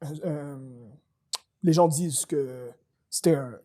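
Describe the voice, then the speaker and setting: A young man with a Quebec French accent, co-hosting a casual chat and excitedly explaining things, mixing English slang into his French. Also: a little high, takes it slowly. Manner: animated storyteller who mixes English slang into French. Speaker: podcast co-host.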